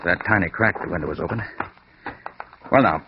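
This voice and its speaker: An actor playing a confident, shrewd master detective in an old radio drama, speaking with brisk authority. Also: male voice, deep voice, lightly acted. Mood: brisk, deductive. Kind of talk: 1940s-50s radio drama acting. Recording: muffled, noisy vintage radio recording.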